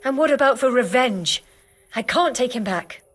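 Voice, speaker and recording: Casting: fearful but stubborn village mother in a game drama, high-pitched, sceptical. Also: animated, normal speed. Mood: anxious, doubting. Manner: doubting, reluctant. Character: wary protective mother, stubborn and fearful of bandits. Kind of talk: video game cutscene acting.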